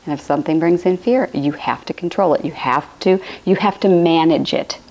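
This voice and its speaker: A woman from Illinois with a raspy, cracking voice, giving a rambling, repetitive spiritual talk on a live stream at home. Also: low-pitched, moderate pace, a little mellow. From Illinois, USA. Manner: rambling, repetitive phrasing with frequent restarts. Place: home room with a fireplace, indoors.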